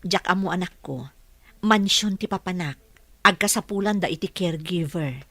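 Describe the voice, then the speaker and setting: An actress playing a gentle, earnest young single mother looking for work in an audio drama, speaking politely. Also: on the low side, normal speed, no echo. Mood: tender, reassuring.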